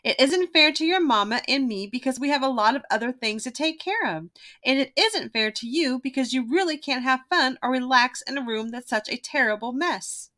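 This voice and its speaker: A firm, fair papa bear, read by a woman, bright and very animated in pitch. He reasons with emphasis, like a storybook problem solver. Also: moderate pace.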